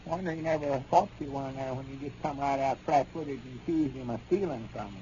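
An actor playing a feisty, needling old grandpappy with a folksy rural Arkansas drawl in a 1930s radio comedy. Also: male voice, mid-range voice, brisk, dark tone, close to an everyday voice. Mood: scolding. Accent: rural Arkansas, Southern US. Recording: muffled, hissy 1930s radio recording.

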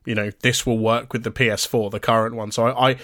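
A man's voice: medium pitch, little pitch movement, fast, a bit bright, dry recording, studio quality. A man co-hosting a podcast, chatting casually with plenty of ums and restarts while he is losing his voice a little. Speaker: podcast co-host.

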